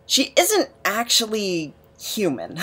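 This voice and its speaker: A woman playing an awkward, emotional misfit in an audio drama, hesitant and stammering, quick to put themselves down. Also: medium pitch, huge pitch swings, pushed and bright, slightly rough, full character voice. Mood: nervous, sheepish. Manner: hesitant, stammering, self-deprecating. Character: awkward, emotional, rambling misfit named Nap.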